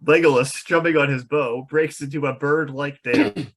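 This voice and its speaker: A man's voice: a little high, fairly even intonation, normal speed. A man reading out the stage directions of a fantasy script as narrator at a table read.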